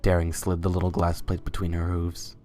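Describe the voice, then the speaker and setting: Very low, even-toned male narrator. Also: brisk, soft background music. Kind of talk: scripted narration of a fan fiction story.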